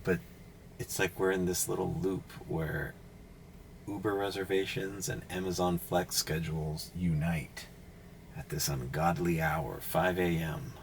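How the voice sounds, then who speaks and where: Young rideshare driver, groggy early-morning vlog voice, animated and profane, in a car with faint road noise. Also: male voice, low-pitched, unhurried, rough recording. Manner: swears casually, animated storytelling. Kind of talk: casual driving vlog. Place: inside a car.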